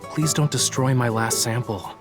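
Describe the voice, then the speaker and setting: A man's voice: medium pitch, normal speed, lightly acted. A young man voice-acting a whimsical painter in a video game cutscene, wry, teasing and nonchalant. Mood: coaxing, instructive. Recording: music behind the voice.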